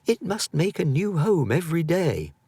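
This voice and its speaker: A man's voice: on the high side, sing-song, normal speed, dark tone, husky, no room sound, voice pushed up, heavily acted. A man voicing an eager, imaginative poor young boy with an earnest, folksy way of talking. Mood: breathless, puzzled. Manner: playful, earnest, folksy make-believe talk.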